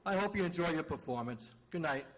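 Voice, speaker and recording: A man's voice: a little high, animated, fairly quick, a bit bright. An actor playing a blunt, plain-spoken police detective, a teasing man in 1940s radio drama style. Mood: matter-of-fact.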